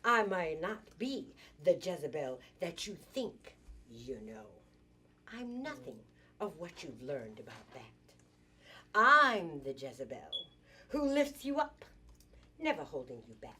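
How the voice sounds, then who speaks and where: A woman's voice: sits low, sing-song, slow pace, pushed and bright, a little hiss or hum, full character voice. A woman performing an improvised dramatic monologue as an indignant, sassy businesswoman confiding in a girlfriend, with theatrical emphasis. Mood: defiant, sassy, then proud, triumphant.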